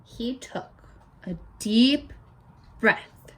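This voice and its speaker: A woman's voice: a little high, drawn-out words, low-quality recording. A woman teacher reading a picture book aloud to children, stopping to explain words and ask for their predictions. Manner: animated, asks children questions.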